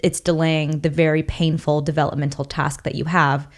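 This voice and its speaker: A woman's voice: sits low, flat delivery, moderate pace. A young woman co-hosting a podcast, reflecting in casual chat on ideas from her reading. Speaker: podcast co-host.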